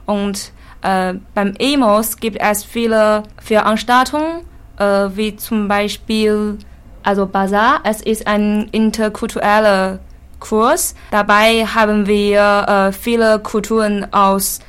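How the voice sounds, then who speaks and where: A young woman from China with a Chinese accent, an exchange student answering interview questions in halting learner German. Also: mid-range voice, steady tone, clear, resonant tone. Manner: halting, many hesitation fillers.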